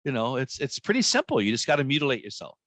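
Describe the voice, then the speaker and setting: A man's voice: medium pitch, very animated, quick pace, a bit bright, dry recording. A middle-aged man from Hawaii, a guest comedian in casual podcast chat, joking in a dry, self-mocking way over a video call.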